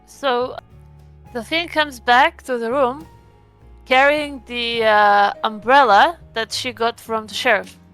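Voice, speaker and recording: A woman's voice: fairly high pitch, steady tone, takes it slowly, fairly bright tone, faint music behind. A woman playing in a tabletop vampire role-playing game, casually narrating and speaking as her character.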